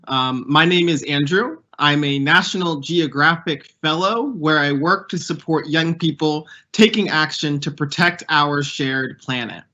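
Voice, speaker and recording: Rich: A young man with a bright, fairly high voice speaks with earnest purpose and lifts his pitch on each item of a list. The sound is dull and lo-fi, typical of audio from a video call. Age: young adult. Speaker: youth program fellow hosting a panel. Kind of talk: prepared speech and interview.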